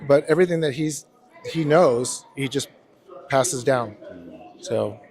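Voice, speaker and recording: A man in his fifties from California, a bodybuilding competitor, answering interview questions in a measured way with many pauses. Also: mid-range voice, darkish tone. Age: 50s. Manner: measured, hesitant, many "um" and "you know". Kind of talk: casual interview.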